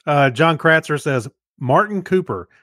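Halting man chatting on a podcast. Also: a little high, lively intonation, a bit bright, no echo, clean studio sound. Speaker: podcast co-host. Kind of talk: casual podcast chat, reading listener feedback.

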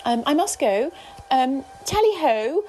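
A woman playing a croaky, prim old schoolmistress. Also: big pitch swings, measured pace, a little mellow, a little hiss or hum, poor audio. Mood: dotty. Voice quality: croaky, theatrical. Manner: swooping pitch, whispered asides. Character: fussy elderly female poetry teacher, dotty and prim.

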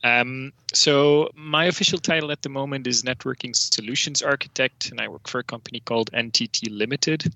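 Gravelly Flemish-accented man, bright tone. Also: medium pitch, fairly quick, hardly any pauses, no echo, low-quality recording. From Belgium. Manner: relaxed, explanatory, with frequent fillers. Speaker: guest, network solutions architect. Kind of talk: casual podcast interview.

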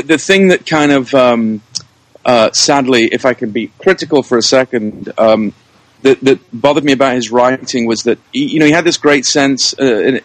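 A man gives casual interview answers in a medium-pitched voice. He speaks hesitantly, working through his thoughts aloud, and the sound is very dry with hardly any room to it. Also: dry recording, low-quality recording. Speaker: interview guest, show creator, writer and director.

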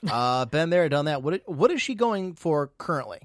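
A man's voice: on the high side, sing-song, normal speed, dry recording, studio quality. A man hosting a live podcast, chatting casually, rambling and teasing with mock boasts. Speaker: podcast host.

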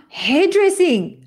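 A woman's voice: high voice, a little mellow. Chatty woman. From France. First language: French. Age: middle-aged. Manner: upbeat, chatty, with restarts. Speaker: guest. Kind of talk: casual interview chat.

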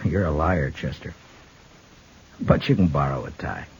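Deep-voiced, terse Old West marshal. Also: male voice, slight background noise. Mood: dry. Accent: American. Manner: terse, halting, low-key. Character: gruff, terse Old West town marshal, reserved man. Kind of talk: 1950s radio Western acting. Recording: muffled 1950s radio recording with music.

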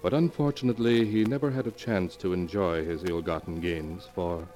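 A man's voice: low-pitched, normal speed, warm tone. A man narrating and announcing a 1940s radio mystery drama, on an old broadcast recording. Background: music.

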